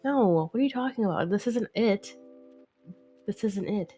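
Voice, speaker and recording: A woman's voice: mid-range voice, expressive, normal speed, mellow, faint music behind. Chatty sniffly woman streamer. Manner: chatty, laughing, swears freely. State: sniffly from a head cold. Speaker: live stream host. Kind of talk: casual chat while drawing.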